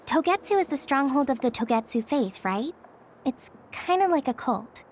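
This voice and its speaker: An actress in an anime game dub playing a cheerful, chatty young rookie soldier. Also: female voice, high voice, lively intonation, normal speed, slight background noise. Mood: chatty, curious.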